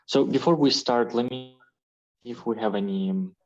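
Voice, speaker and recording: A man's voice: mid-range voice, normal speed, a little mellow. A man from Ukraine, the club president, hosting an online meeting with a hesitant, friendly manner. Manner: hesitant, friendly, many fillers. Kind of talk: online meeting host chat. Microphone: video call. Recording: dull lo-fi call audio.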